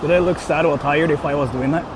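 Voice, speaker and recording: A man's voice: fairly high pitch, fairly even intonation, quick pace. A man livestreaming casually, reading out viewer comments and answering them in a rambling, self-questioning, sweary way. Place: outdoors on an urban street, walking. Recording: clearly noisy outdoor city street stream.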